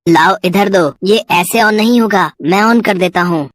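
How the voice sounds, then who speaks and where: A woman's voice: mid-range voice, a little slow, dry recording, close to an everyday voice. A woman voicing a bragging young schoolboy in a cartoon story. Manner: bragging, sly. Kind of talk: cartoon voice acting.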